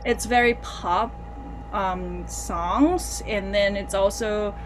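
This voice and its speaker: A woman's voice: mid-range voice, takes it slowly, a little hiss or hum. A woman composer talking casually in an interview, rambling with many fillers, self-corrections and light laughs. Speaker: composer guest.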